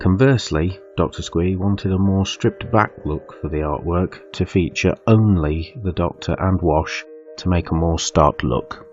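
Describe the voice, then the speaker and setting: A man's voice: low-pitched, unhurried, a little mellow, faint music behind. A man reading scripted behind-the-scenes notes on a dull microphone. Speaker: artist and cast member.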